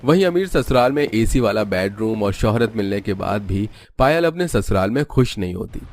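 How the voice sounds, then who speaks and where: A man's voice: on the low side, animated, normal speed, a little mellow, slight background noise. A man narrating a scripted cartoon story.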